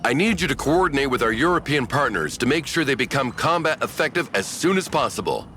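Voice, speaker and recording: An actor playing a haughty, authoritative senior commander in a video game, speaking formally and pompously. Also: male voice, mid-range voice, animated, fairly quick, sharp tone, a little hiss or hum. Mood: stern, condescending. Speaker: senior commander and diplomatic envoy. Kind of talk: scripted video game briefing. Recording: music behind the voice.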